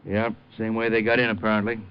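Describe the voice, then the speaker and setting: An actor playing a gruff, businesslike homicide lieutenant in 1950s radio drama style. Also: male voice, on the low side, level tone, fast, lightly acted. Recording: muffled old 1950s radio recording.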